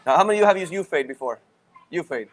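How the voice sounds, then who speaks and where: A man with a Puerto Rican accent co-hosting a podcast at a live event, playful and quick to joke and laugh. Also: on the high side, lively intonation. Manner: playful, joking, laughs often. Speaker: podcast co-host. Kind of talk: casual chat at a live event.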